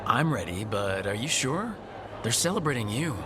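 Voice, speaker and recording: A man acting a steady, wry, supportive adventurer hero in a video game, talking conversationally. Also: mid-range voice, moderate pace, background noise, lightly acted. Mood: wry, teasing.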